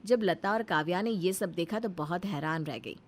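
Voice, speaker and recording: A woman's voice: lowish voice, normal speed. A woman narrating a scripted moral story as storyteller.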